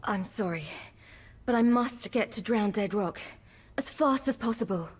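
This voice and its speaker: A woman's voice: medium pitch, measured pace, husky. An actress playing a resolute young warrior woman in a fantasy game, polite but reserved. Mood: polite, urgent. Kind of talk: dramatic video game acting. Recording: music behind the voice.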